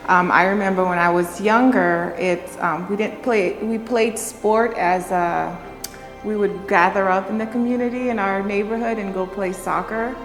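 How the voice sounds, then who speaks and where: Gravelly-voiced woman from Haiti. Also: on the low side, reverberant, long reverb tail, rough recording. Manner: slow, hesitant, many pauses and fillers. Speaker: interviewee, runner. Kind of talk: reflective interview talk.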